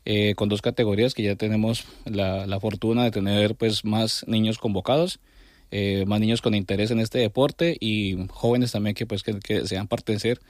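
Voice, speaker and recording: A man's voice: lowish voice, steady tone, normal speed, a little mellow, dry recording, studio quality. A middle-aged man with a Colombian accent, giving conversational answers as a club director in an interview. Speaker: sports club director and coach, interview guest.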